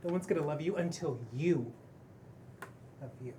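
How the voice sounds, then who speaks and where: A woman's voice: low-pitched, normal speed, mellow, a little hiss or hum, lightly acted. A woman at a table read playing a theatrical, earnest man who leads a household with effusive ceremony. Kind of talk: table read acting of a pilot script.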